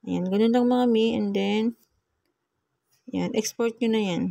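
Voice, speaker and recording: A woman's voice: mid-range voice, measured pace, creaky, warm tone, no room sound, clean studio sound. A woman with a Filipino accent giving a casual, chatty step-by-step tutorial, often repeating her instructions to viewers. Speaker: vlogger giving a phone editing tutorial.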